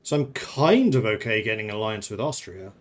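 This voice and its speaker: A man's voice: lowish voice, lively intonation, a little fast. A man from the Netherlands who grew up in England, streaming a strategy game and thinking aloud in casual commentary, chatting with viewers and laughing often.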